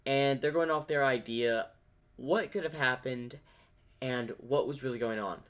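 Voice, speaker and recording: A man's voice: mid-range voice, a little slow, a bit bright. A man giving casual off-the-cuff reaction commentary as he watches a video.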